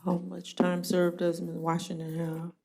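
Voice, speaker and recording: A woman judge running a jail court hearing, brisk and plainspoken with a dry joking streak. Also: on the low side, monotone, vocal fry, darkish tone.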